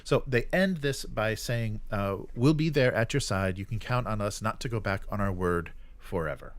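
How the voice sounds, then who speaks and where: A man with a fairly low voice hosts a casual news discussion. He reads announcements and adds comments in a halting, agreeable manner. The sound is dry and close. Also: no room sound. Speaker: show host.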